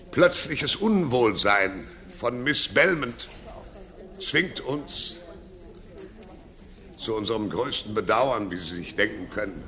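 A man's voice: medium pitch, sharp tone, exaggerated voice. An actor playing a pompous theatre man who declaims grandly from an echoing stage, in a radio drama. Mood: pompous, apologetic.

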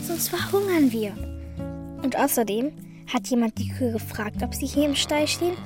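High-voiced, cheeky orphan girl. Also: expressive, normal speed, over music. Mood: playful, bossy. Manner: colloquial, pert, commanding. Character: cheeky, bossy orphan girl Ida, street-smart and wistful. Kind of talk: German children's radio drama acting.

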